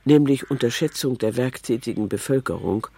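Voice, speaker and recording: A man's voice: medium pitch, fairly quick, creaky, mellow, slight background noise. A man reading a scripted literary documentary narration.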